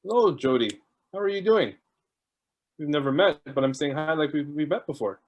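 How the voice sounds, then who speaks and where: A man's voice: on the high side, moderate pace. A man hosting an online video call, chatting casually and greeting people one by one while he jokes about stalling. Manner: chatty, joking, warm greetings to each arrival. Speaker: host.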